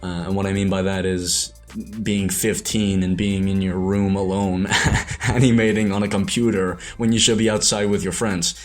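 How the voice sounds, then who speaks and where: A man's voice: deep voice, little pitch movement, normal speed, faint music behind. A young adult man from Ireland, a show creator, talking through a rambling, hesitant reflective monologue full of restarts and self-corrections.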